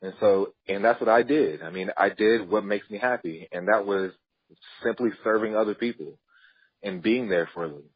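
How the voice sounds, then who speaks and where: Guy on a podcast, low husky voice, earnest, kinda muffled audio. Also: normal speed, no room sound. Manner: earnest, with some repeated starts. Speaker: podcast guest. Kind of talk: storytelling interview answers.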